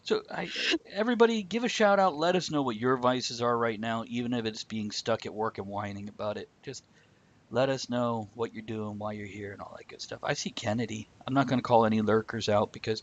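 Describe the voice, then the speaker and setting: A man's voice: fairly low pitch, a little fast, lo-fi sound. A man hosting a live-streamed video chat show, joking, laughing and chatting with viewers. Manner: jokey, laughs often, chats to viewers. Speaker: host. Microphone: video call.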